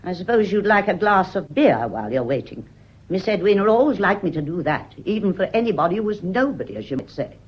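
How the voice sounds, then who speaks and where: A snobbish British housekeeper in a radio play, husky and fairly low. She is bossy and brusque, swinging up and down in disapproval, on a muffled recording. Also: sing-song, fast talker. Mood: disapproving. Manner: brusque, disapproving, set in her ways. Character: bossy, snobbish, gossipy woman housekeeper in service. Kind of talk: radio drama acting.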